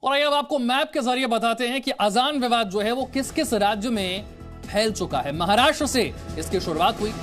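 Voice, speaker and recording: A man's voice: very high voice, moderate pace, fairly bright tone. A man presenting television news as an anchor, explaining developments directly to viewers. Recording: music bed under the voice.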